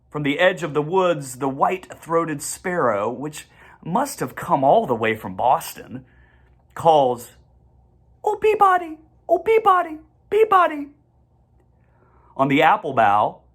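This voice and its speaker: A reader narrating a children's storybook aloud in measured, deliberate phrases with the occasional stumble. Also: mid-range voice.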